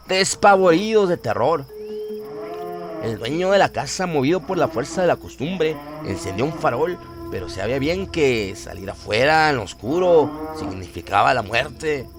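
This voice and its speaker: A man narrating a horror radio story in a solemn, literary, suspenseful manner. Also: fairly high pitch. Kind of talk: dramatic scripted horror narration. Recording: music behind the voice. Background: music.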